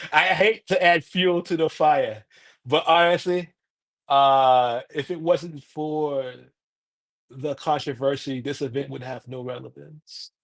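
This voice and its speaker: A young man chatting casually as a podcast co-host, pressing his points and sometimes trailing off quietly. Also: a little high, steady tone, takes it slowly. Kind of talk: casual chat.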